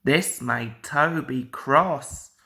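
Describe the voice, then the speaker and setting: A woman's voice: rumbling low, drawn-out words, pushed and bright. A woman reading a children's storybook aloud as scripted narration. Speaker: storybook reader.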